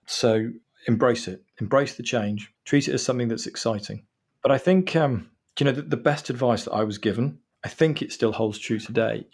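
A man's voice: mid-range voice, husky. A man from England in his forties, a guest on an interview podcast, chatty and self-deprecating as he gives advice and tells stories.

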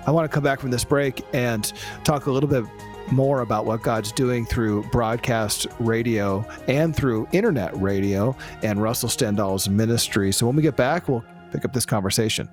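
A man's voice: medium pitch, normal speed, soft background music. A man hosting a radio show, interviewing guests in a conversational way. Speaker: radio host.

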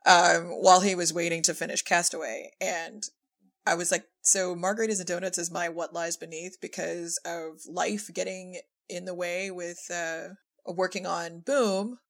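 A woman's voice: on the low side, steady tone, normal speed. A woman chatting casually as an audio drama creator, telling anecdotes and acting out quoted remarks in an informal way. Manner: informal, quotes herself and others conversationally. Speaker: audio drama writer-director in conversation. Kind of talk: casual chat, anecdotal storytelling.

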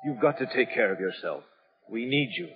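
An actor playing an earnest, steady prison officer in charge, speaking with measured authority in an old film drama. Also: male voice, medium pitch, lightly acted. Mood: calming. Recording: muffled old film soundtrack, long echo.

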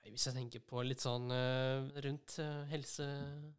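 A man with a smooth, clean voice at a middle pitch co-hosts a casual podcast. His phrasing is halting, with thoughts assembled as he goes. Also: flat delivery. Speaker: podcast co-host.

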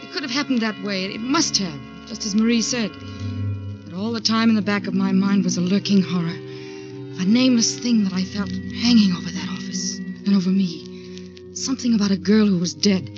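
A woman's voice: mid-range voice, moderate pace, close to an everyday voice. An actress with an American accent playing an anxious young career woman in a dramatic 1940s radio thriller. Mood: haunted, brooding. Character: anxious young career woman narrating her own haunting. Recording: dull 1940s radio recording with music.

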